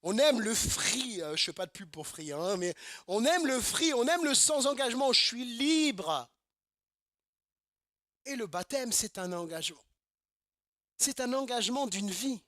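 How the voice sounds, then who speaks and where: A man from Normandy delivers a sermon in an emphatic, repetitive style full of rhetorical questions. His voice sits very high and sounds bright and forceful. The sound is dry and close, with almost no room echo. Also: expressive, measured pace, long pauses. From Normandy, France. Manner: emphatic, repeats phrases, asks rhetorical questions. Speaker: pastor. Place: church service.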